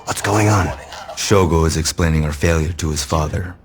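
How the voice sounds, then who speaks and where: Solemn, dutiful crime underboss, very low voice, formal restraint, crowd clearly audible behind. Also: male voice, normal speed. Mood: grim. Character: grave, dutiful male Japanese gang underboss.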